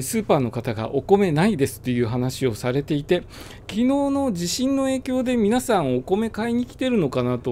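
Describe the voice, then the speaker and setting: Male motovlogger, calm chatty explainer, warm mellow tone, light wind hiss under the voice. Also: a little high. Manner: relaxed, filler-heavy, explanatory. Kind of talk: casual riding monologue. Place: riding a motorbike on suburban roads.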